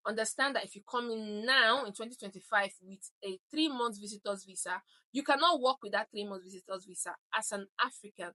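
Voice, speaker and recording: A Nigerian woman in her thirties gives direct, emphatic advice straight to the camera. Her voice has a bright, clear ring, and she presses her points home with a repeated "okay?". Her pitch sits in the usual middle range for a woman. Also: fairly quick. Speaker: vlogger.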